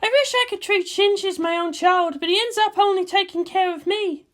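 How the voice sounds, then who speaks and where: A man's voice: extremely high pitch, brisk, fairly bright tone, voice pushed up. A man voicing a brash, world-weary young woman officer with an emotional, teasing manner in a fan fiction reading. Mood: wistful, bitter.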